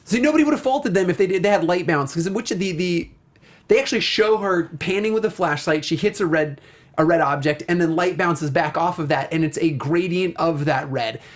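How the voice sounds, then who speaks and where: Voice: medium pitch, fairly quick. A talk show host in a casual review discussion, speaking with gushing enthusiasm and often rambling off mid-thought.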